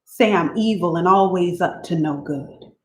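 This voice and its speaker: A woman voicing a weary, abused teenage country girl who confides in God, speaking in a plain rural dialect, quiet and resigned. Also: fairly low pitch, measured pace, natural voice. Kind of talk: dramatic reading of letters. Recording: roomy room echo.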